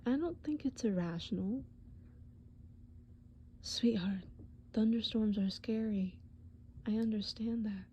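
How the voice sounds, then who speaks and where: A woman voicing a tender, worried boyfriend in a comfort roleplay, breathy and often whispering, with halting, soothing speech. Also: medium pitch, dark tone, dull audio, slight background noise. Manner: soothing, halting, pet names.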